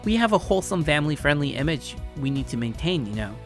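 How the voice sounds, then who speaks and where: A man's voice: a little high, sing-song, fast, faint music behind. A man voicing a tall, confident tomboy girl who teases playfully in story dialogue. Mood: mock serious. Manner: breezy, teasing.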